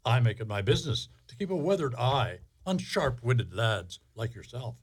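A man playing a sly, funny little bean seller in a fairy-tale radio play, wheedling and flattering like a salesman. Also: mid-range voice, moderate pace, breathy edge.

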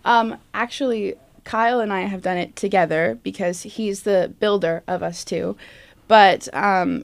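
Young woman with a creaky voice, chatting. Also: mid-range voice. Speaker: stage manager. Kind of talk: casual interview talk.

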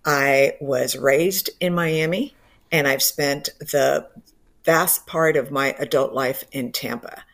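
Low-voiced woman, enthusiastic author guest. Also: slow. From Cuba. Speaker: professor and book co-author. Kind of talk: radio interview guest.

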